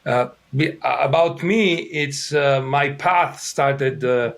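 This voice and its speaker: Italian-accented man, halting interview answers. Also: medium pitch, vocal fry. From Italy. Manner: halting, many fillers and restarts. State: throat irritated. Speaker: soccer head coach.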